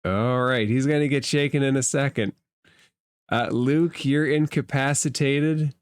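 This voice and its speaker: Guy running a tabletop rpg, takes his time, smooth clean voice, really dry sound. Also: medium pitch, steady tone, dry recording. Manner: measured, explaining rules and addressing players. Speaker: game master. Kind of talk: narrating a tabletop roleplaying game.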